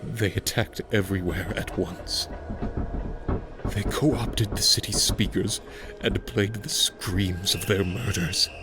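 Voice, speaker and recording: An actor playing a grieving uncle who once kept an orphanage, speaking slowly in a hushed, halting way. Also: male voice, low voice, steady tone. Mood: grave. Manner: slow, hushed and halting, rising to anguish. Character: grieving older male orphanage keeper, haunted. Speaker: uncle, former orphanage caretaker. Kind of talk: fan audio drama acting. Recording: music score behind.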